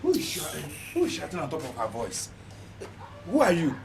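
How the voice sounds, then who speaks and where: An actor playing a flustered, henpecked husband in a film drama, repetitive and stammering, with a Nigerian accent. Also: male voice, fairly high pitch, wildly swooping pitch, fairly quick, creaky, background noise, heavily acted. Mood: questioning. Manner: stammering repetition when agitated. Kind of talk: Nollywood film drama acting.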